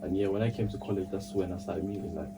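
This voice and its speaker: Young guy in his twenties with a low voice, talking quickly and easily, spinning stories in slang. he sits a way off the mic, with a steady hum audible under him. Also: distant mic. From Oromia, raised in Kenya. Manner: relaxed storytelling, slangy. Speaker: podcast guest. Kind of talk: casual group chat.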